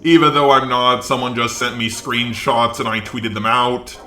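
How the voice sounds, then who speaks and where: A man's voice: mid-range voice, level tone, normal speed, sharp tone, reverberant. A man doing combative, profane online commentary with a sarcastic, exasperated way of talking. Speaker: YouTube commentator.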